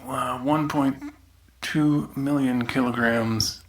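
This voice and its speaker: A man's voice: medium pitch, steady tone, takes it slowly, close to an everyday voice. A man playing a grumbling demoted researcher, reading an official audio log in a dry manner with irritable asides. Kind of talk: scripted in-character document reading. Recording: noisy outdoors, later echoey bathroom.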